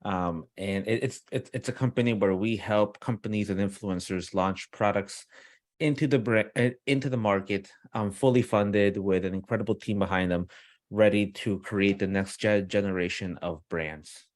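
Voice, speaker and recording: A man's voice: fairly low pitch, normal speed, dry recording, studio quality. A man from Peru who grew up partly in the US, talking casually and enthusiastically as a podcast guest over a video call on his own microphone. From Peru, moved to the US as a young child. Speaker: podcast guest, company founder. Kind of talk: casual conversational interview. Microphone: own external microphone on a video call.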